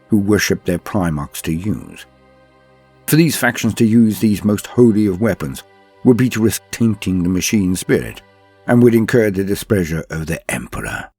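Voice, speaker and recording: A man with a husky voice giving scripted lore narration in a florid, theatrical, formal manner. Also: deep voice, a little mellow. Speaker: narrator and host of a lore guide.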